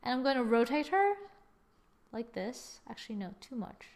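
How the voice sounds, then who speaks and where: A young woman hosting a screen-recorded software tutorial, chatting through each step as she works at her computer. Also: a little high, sing-song, moderate pace. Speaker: tutorial host.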